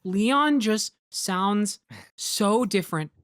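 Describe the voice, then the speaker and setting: A woman's voice: medium pitch, very slow, no echo, clean studio sound. A woman giving casual, rambling commentary as one of the makers, saying "like" often. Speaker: dub writer and director on cast commentary.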